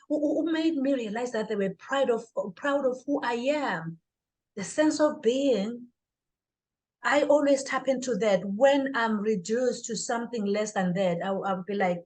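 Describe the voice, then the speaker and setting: Middle-aged Southern African woman, fairly high voice, thoughtful interview guest who repeats phrases for emphasis. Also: darkish tone, poor audio. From Swaziland. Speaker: podcast guest, author and speaker. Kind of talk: reflective personal interview talk.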